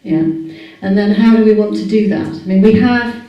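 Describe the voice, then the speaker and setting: A woman's voice: medium pitch, normal speed, dull audio, soft background music. Woman chairing a meeting in an echoey hall. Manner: hesitant, many fillers, asks the room questions. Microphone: far camera microphone. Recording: echoey hall recorded from far off.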